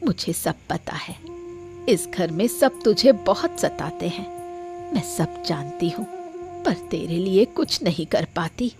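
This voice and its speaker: A woman's voice: lowish voice, animated, slow, music in the background. A woman playing a caring, helpless old grandmother in a cartoon moral story, soothing and pleading. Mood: sorrowful, helpless. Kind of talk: Hindi cartoon story dialogue.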